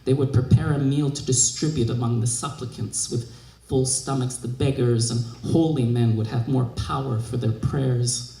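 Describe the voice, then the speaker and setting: Creaky-voiced man reading a story. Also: medium pitch, fairly even intonation, moderate pace, warm tone. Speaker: literary reader. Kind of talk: expressive scripted narration of a short story. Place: live reading in a museum room. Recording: echoey room.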